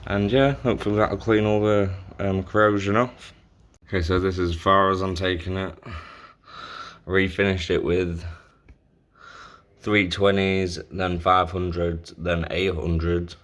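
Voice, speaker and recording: A man with a low-pitched voice narrates a hands-on repair job in a casual way. He thinks aloud as he works, often halting mid-sentence to find the next word, like an informal host showing each step. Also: level tone, a bit bright. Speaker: host of a tape deck repair video.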